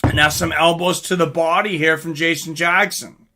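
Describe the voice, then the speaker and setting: A man's voice: fairly high pitch, steady tone, moderate pace, background noise. A man giving casual live fight commentary in an informal, conversational way, like a livestream host.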